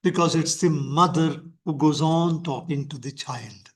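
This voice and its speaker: Older Indian man lecturing slowly. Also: on the high side. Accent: Indian English. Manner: slow, emphatic delivery with frequent repetition. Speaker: professor giving an online teacher-training lecture. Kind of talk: lecturing and questioning participants. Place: lecture hall.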